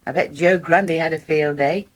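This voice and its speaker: An actress playing a practical, sympathetic middle-aged English farmer's wife with dry humour in a radio soap, her voice husky. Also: deep voice, fairly even intonation, moderate pace. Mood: conversational, teasing. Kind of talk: naturalistic radio soap acting.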